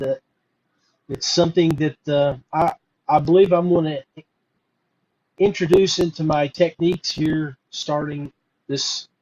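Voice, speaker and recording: A man's voice: fairly high pitch, a little mellow, dull audio, slight background noise. A middle-aged man hosting a fishing podcast, talking casually with lots of fillers and restarts. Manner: rambling, many fillers and restarts. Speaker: podcast host. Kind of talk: casual live podcast talk.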